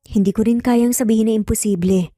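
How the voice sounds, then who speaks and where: A woman playing an earnest, guarded mother in a story, speaking calmly and sincerely. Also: on the high side, dry recording, clean studio sound, soft background music, natural voice. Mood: hesitant, sincere. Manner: calm, measured, sincere. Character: earnest, guarded mother, gently firm with her children. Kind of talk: dramatized storytelling.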